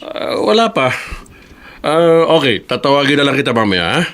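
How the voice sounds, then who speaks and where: A man's voice: mid-range voice, big pitch swings, moderate pace, fairly bright tone. Easygoing, appreciative office boss, Filipino, mid-range male voice, radio drama. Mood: pleased.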